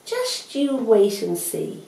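A woman voicing an ancient, quietly determined male tortoise in a storybook, measured and calmly defiant. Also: on the high side, roomy sound, voice pushed up, full character voice, rough recording. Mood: quiet defiance.

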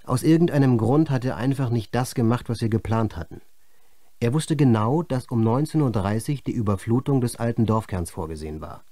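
An actor playing a film director's baffled, subdued assistant, answering earnestly and hesitantly as an interviewee in a radio play. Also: male voice, medium pitch, brisk, dark tone, no echo, lightly acted.

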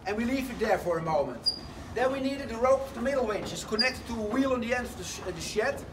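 A man's voice: very high-pitched, fast. Man guiding a mill tour. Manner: lively storytelling, slightly broken English phrasing. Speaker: windmill guide. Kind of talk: guided tour talk. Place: large wooden sawmill interior. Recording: distant voice with noisy background.

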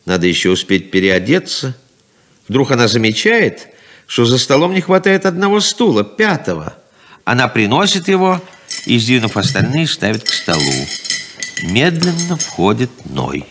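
A man's voice: medium pitch, a bit bright. A man reading scripted narration for a radio play, setting scenes.

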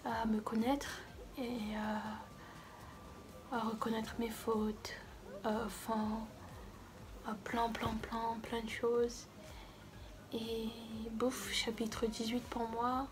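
A woman's voice: on the high side, completely flat delivery, drawn-out words, long pauses. An eighteen-year-old woman chatting casually to camera in an effusive way, repeating words for emphasis. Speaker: vlogger. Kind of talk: casual birthday vlog chat to camera. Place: small bedroom, some clips out in the street. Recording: noisy amateur camera audio.

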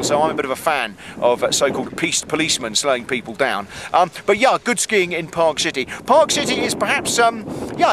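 A man's voice: a little high, background noise. A middle-aged man giving a casual snow report to a camera held at arm's length, outdoors on a mountain, talking in a chatty way with many ums and dry asides. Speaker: ski reporter.

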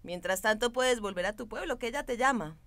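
A woman acting a bright, brisk TV gossip presenter. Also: a little high, animated, no room sound. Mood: brisk, helpful. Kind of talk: radio drama acting.

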